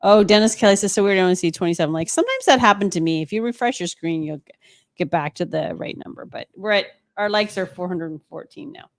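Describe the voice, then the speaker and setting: A woman's voice: medium pitch, expressive, fast. A woman co-hosting a live stream, reading out viewer chat and bantering casually in a playful, teasing way.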